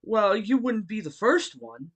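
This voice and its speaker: A man acts out a grown martial-arts hero who teaches, bookish and friendly. His voice sits high for a man and swings animatedly up and down. He addresses the listener politely in an audio roleplay, pausing hesitantly between phrases. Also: sing-song, fairly bright tone, heavily acted. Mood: fond.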